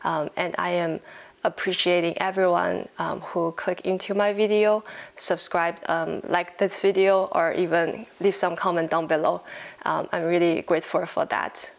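Young Chinese-accented woman, creaky low voice. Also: level tone, moderate pace. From China. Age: 20s. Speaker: knitting podcast host. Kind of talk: casual chat to camera. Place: living room at home, indoors.

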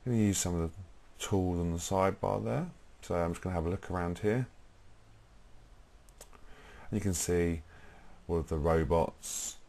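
A young British man presenting a software tutorial, explaining informally step by step in a husky voice. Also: low voice, moderate pace, pauses often. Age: young adult.

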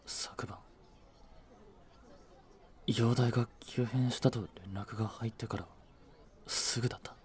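A man playing a blunt, devoted young boyfriend in a romantic voice drama, with a breathy voice and heavy emotion. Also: medium pitch, steady tone, takes it slowly, pauses often, a little mellow, close to an everyday voice. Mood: numb, hushed narration. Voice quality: breathy, slightly husky. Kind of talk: romantic Japanese voice drama acting.

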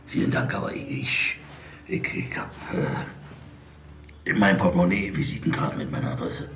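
An actor playing a laconic, hard-drinking police detective with a Berlin accent, speaking haltingly and colloquially in a TV crime drama. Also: male voice, deep voice, steady tone, a bit bright, slight background noise. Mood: groggy, embarrassed. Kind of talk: naturalistic TV crime drama acting.

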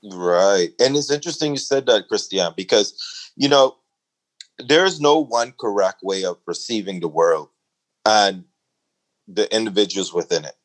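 A middle-aged man from the Bahamas with a Bahamian accent, a guest in a conversational interview, talking emphatically and climbing in pitch when he makes a point. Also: unhurried, rough recording. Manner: emphatic, rising to a preaching lilt when making a point.

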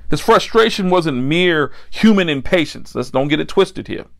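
Higher-pitched American male preacher, emphatic. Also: lively intonation, vocal fry. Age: middle-aged. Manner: emphatic, deliberate phrasing with repeated key lines. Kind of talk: sermon. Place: indoor set with a decorated backdrop.

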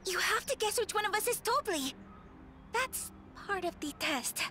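Tiny, very high-pitched perky merchant. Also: female voice, expressive, normal speed, pushed and bright, a little hiss or hum, exaggerated voice. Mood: playful. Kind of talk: video game cutscene acting.

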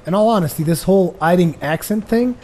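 Chatty Portuguese gamer, voice tiring. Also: male voice, high-pitched, a little fast, a little mellow, a little hiss or hum. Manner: chatty, jokey, rambling. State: voice tired and going hoarse. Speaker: gaming commentator. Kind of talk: casual let's play commentary.

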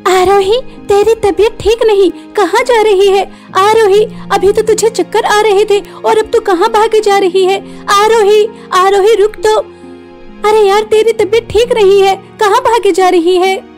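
A woman's voice: very high-pitched, steady tone, a little slow, soft background music, voice pushed up. A woman voicing an anxious schoolgirl friend in a story, calling out with urgent concern. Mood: worried. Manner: calling out, concerned, scolding gently. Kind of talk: dramatised storytelling.

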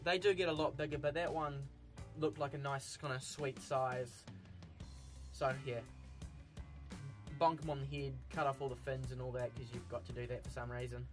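A man's voice: mid-range voice, normal speed. A young man with a New Zealand accent, chatting casually while fishing from an outdoor wharf. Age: young adult. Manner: laid-back, chatty, excitable when hooking fish. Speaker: fishing vlogger. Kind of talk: casual vlog commentary. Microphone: camera-mounted mic, outdoors. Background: music.

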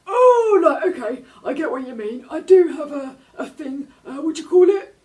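A woman reading a storybook aloud, voicing a nervous, chatty male shark in a high, excited, rambling way. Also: moderate pace, recorded from a distance. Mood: eager.

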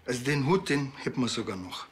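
An actor playing a dry, blunt country policeman, talking colloquially and deadpan in a TV crime comedy. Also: medium pitch, fast, recorded from a distance, slight background noise, lightly acted.

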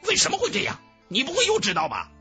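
A male narrator plays a brash young cultivator from a fantasy audiobook. His voice sits high and leaps around dramatically, with an exclamatory, incredulous bluntness. Soft music runs underneath. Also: huge pitch swings, quick pace, exaggerated voice. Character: brash excitable young male cultivator, suspicious then chummy. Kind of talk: fantasy audiobook character acting.

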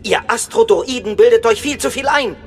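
An actor playing a fussy, polite, indignant protocol droid in an audio drama, speaking formally. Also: male voice, extremely high pitch, normal speed, long reverb tail, over music.

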